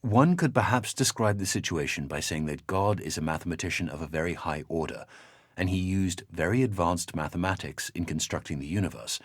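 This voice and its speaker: A low-voiced, slightly husky researcher in a game audio log. He is measured and reflective, with lively intonation, recorded very dry and close. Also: no room sound. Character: thoughtful male researcher reading quotations aloud and discussing them. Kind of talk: audio drama reading and conversation.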